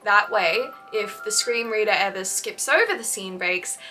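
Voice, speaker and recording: A woman's voice: medium pitch, moderate pace, bright, forceful tone, far from the mic, low-quality recording. A woman hosting a scripted instructional video on writing, with articulate, emphatic phrasing. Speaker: host of fan fiction writing advice videos. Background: background music.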